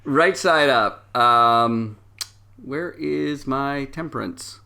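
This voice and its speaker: A man acting as game master, narrating a story game and reading card meanings aloud in a conversational way with hesitant pauses. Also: mid-range voice, creaky, pushed and bright. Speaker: game master of tabletop role-playing show.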